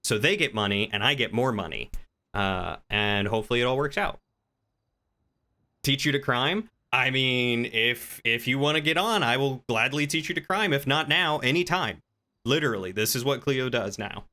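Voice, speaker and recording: Bright, forceful-voiced man chatting on stream. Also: mid-range voice, expressive, moderate pace. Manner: informal explanatory rambling, thinking aloud. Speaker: livestreamer and role-play gamer. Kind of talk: casual livestream chat with viewers.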